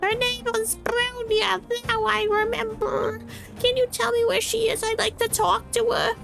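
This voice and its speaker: A tiny, earnest boy from a video game, with a very high voice that creaks at the edges. He speaks hesitantly and politely, with soft music behind him. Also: little pitch movement, unhurried, exaggerated voice. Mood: earnest, hopeful. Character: shy, earnest little boy searching for a lady.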